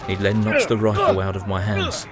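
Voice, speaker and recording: Man acting a low-voiced, haunted young British soldier. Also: level tone, normal speed, natural voice. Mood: urgent, panicked. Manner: somber, reflective, dramatic. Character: young, weary British soldier in 1917 trenches. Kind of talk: first-person audio drama diary narration. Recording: music behind the voice. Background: dramatic music.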